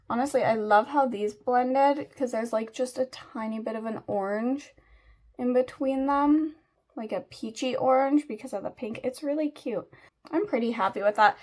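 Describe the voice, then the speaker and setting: A young woman with a slightly raspy voice, chatting casually and rambling with lots of fillers while she does her makeup. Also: fairly high pitch. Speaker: beauty vlogger.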